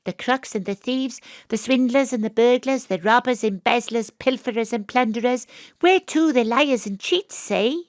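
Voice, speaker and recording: An actress playing a wry, world-weary private detective, narrating and talking in an audio drama. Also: female voice, fairly high pitch, moderate pace, dry recording, studio quality, lightly acted. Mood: glum, plaintive. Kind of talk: audio drama dialogue and noir-style narration.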